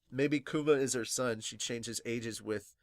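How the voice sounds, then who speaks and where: A man with a mid-pitched voice talks casually and excitably, like a fan hosting a reaction podcast. His nose sounds slightly stuffed and sniffly, and he swears freely. The recording is close and dry, with very little room sound. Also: dry recording. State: congested, sniffly from crying. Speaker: podcast host reviewing manga. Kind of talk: casual fan reaction, reading viewer comments aloud.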